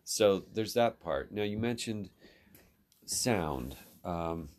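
Man, mentor type, extremely low voice, steady and considered feedback. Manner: measured and advising, with ums and restarts. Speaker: instructor giving feedback. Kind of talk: advisory conversation.

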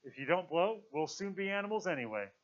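Anxious storybook boy man acting: high, trilling, squeaky, indignant, pleading, wide swings in pitch. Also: big pitch swings, a little fast, lo-fi sound. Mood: urgent, alarmed. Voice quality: trilling, squeaky when upset. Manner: ungrammatical, protesting speech.

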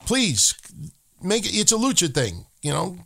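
Man, podcast co-host, fairly high voice, animated, profane casual chat. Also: measured pace, gravelly. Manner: animated, swears freely, slips into joking broken-English voices.

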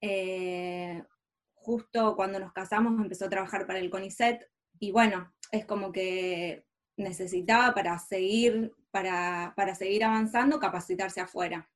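A woman's voice: mid-range voice, fairly even intonation, moderate pace. A woman with an Argentine accent from Rosario, telling her own story casually as an interview guest in a chatty, informal way. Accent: Rosario Argentine Spanish.

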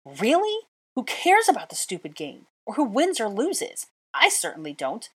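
A woman voicing an indignant, clever young witch who breaks into shrill, exasperated outbursts. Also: very animated, moderate pace, breathy edge. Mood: furious. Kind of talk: audiobook character voice.

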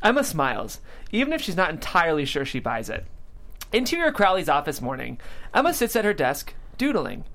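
A narrator reading stage directions in an animated way at a comedy script table read. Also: medium pitch, brisk.

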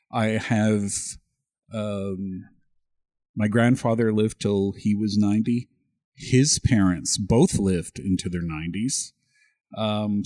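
A man's voice: lowish voice, warm tone, no echo, clean studio sound. A middle-aged Canadian man whose first language was French, co-hosting a casual podcast chat and often repeating words as he starts a sentence. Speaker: podcast co-host.

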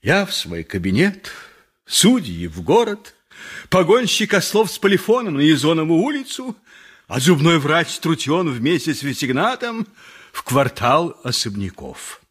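A man acting an animated storyteller in a radio play, giving dramatic scripted narration of a satirical tale. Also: a little high, a little slow, slightly rough, exaggerated voice.

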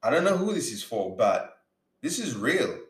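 A man's voice: mid-range voice, big pitch swings, normal speed. A man from Uganda giving an earnest motivational talk about faith and business, repeating words for emphasis. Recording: roomy echo.

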